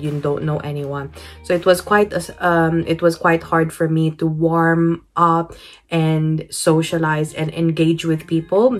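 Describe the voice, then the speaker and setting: A woman in her thirties with a Filipino accent, telling a personal story to camera in a candid, earnest way, full of fillers and restarts. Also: deep voice. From Philippines. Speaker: vlogger. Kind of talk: personal storytelling vlog, switching between English and Tagalog. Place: indoors, small room with wooden panelling. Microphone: camera at close range, facing it.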